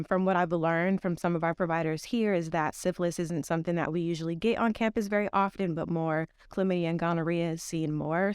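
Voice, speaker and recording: A woman's voice: on the low side, clear, resonant tone, no echo, studio quality. A young woman, a health educator, speaking on a podcast in a thoughtful way, with many fillers. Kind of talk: informational podcast talk.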